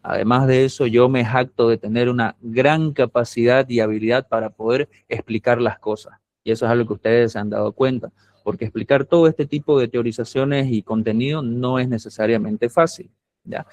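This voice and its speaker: A man's voice: mid-range voice, steady tone, normal speed, a little mellow. A man from Santa Cruz, Bolivia, with a camba accent, lecturing a university class in a patient, explanatory way and often checking that students follow.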